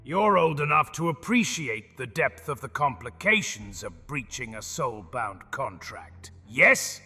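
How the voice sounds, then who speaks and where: An actor playing a sly, greedy male goblin who giggles, teases and flares into shouting, in an audio drama. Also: medium pitch, sing-song, measured pace, husky, full character voice. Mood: menacing. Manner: giggles, teasing, turns to shouting. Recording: long reverb with music underneath.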